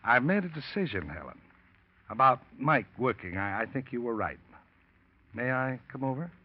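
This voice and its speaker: A smooth-talking schemer, lively pitch, muffled old-time radio sound. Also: male voice, moderate pace. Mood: scheming. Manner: falsely soothing, hesitant. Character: scheming man poisoning his partner. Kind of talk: 1940s radio drama acting. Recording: dull old radio recording.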